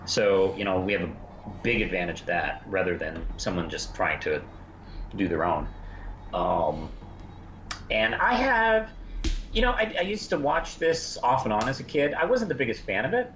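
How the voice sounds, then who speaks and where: A man with a low voice talks casually as a podcast co-host. He rambles, dropping in frequent asides as he goes. The recording is echoey and slightly dull, with a little noise behind him. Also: big pitch swings, moderate pace, a bit bright. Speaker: podcast co-host reviewing a fan audio drama. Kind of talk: casual review chat. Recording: echoey, muffled, faintly noisy room.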